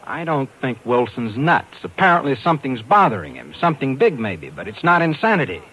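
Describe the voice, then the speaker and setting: A man's voice: medium pitch, big pitch swings, a little fast, bright, forceful tone, husky, heavily acted, poor audio. A man acting a hard-boiled, stubborn talent agent in a 1950s radio drama, talking in a tough, slangy, insistent way. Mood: defiant, insistent. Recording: 1950s radio recording with music behind.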